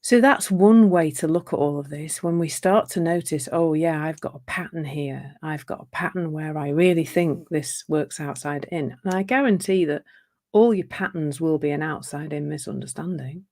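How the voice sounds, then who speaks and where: A middle-aged woman talks in a gentle, reflective way, coaching her listeners on relationships. Her voice sits a little low and carries a slight croak. She often stops and restarts her sentences as she thinks aloud. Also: normal speed. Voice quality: slightly croaky from a sore throat. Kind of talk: live online talk.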